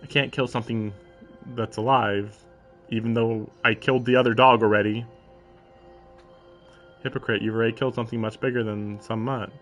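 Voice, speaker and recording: A man's voice: mid-range voice, level tone, brisk, fairly bright tone. Male streamer reading dramatically over music. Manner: mock-dramatic readings broken by sarcastic, profane asides. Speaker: streamer reading a visual novel aloud. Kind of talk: live commentary mixed with dramatic read-aloud.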